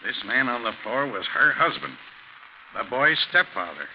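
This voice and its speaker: An actor playing a gruff, matter-of-fact American police sergeant in a brisk 1940s radio style. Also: male voice, medium pitch, animated, close to an everyday voice. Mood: grave, matter-of-fact. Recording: muffled, hissy 1940s radio recording.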